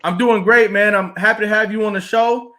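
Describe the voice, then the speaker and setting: A man's voice: high voice, fairly even intonation, brisk, bright, forceful tone. A man hosting an interview show, greeting viewers and prompting his guest with short, encouraging affirmations. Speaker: talk show host.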